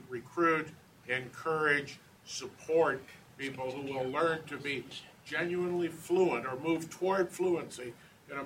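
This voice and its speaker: A man's voice: mid-range voice, bright, forceful tone, recorded from a distance, a little hiss or hum, low-quality recording. A man advocating for language study in a formal board meeting, speaking earnestly.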